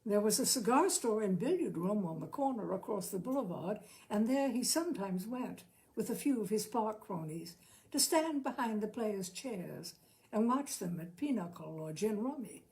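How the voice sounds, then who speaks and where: A woman narrating literary fiction expressively, with dramatic, deliberate phrasing and lingering pauses. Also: medium pitch, lively intonation, darkish tone. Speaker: narrator, story reader.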